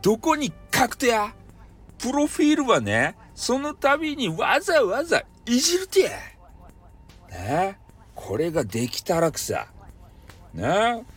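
A man's voice: high-pitched, fairly bright tone, husky, pitched above the performer's own voice, full character voice. Man playing a hot-headed boss, raised voice, Hakata dialect. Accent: Hakata, Fukuoka. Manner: scolding, blunt, demanding. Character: hot-headed bossy male company boss with a flirty streak. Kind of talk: amateur comic radio drama.

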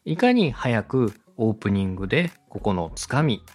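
A man's voice: fairly low pitch, big pitch swings, normal speed, husky, soft background music. A man hosting an instructional podcast, explaining things politely and step by step to a co-host. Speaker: host, radio director teaching podcast production.

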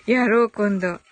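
High-pitched giggly woman chatting casually. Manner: giggly, murmurs agreement to herself in repeated short phrases. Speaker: host of a live audio stream reading listener comments. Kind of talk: casual chat.

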